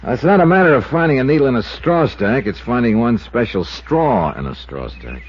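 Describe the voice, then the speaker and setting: An actor playing a shrewd, skeptical insurance investigator with an American accent, pensive and probing, in a 1950s radio detective drama. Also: male voice, medium pitch, big pitch swings, normal speed, slightly rough. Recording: dull lo-fi 1950s radio recording.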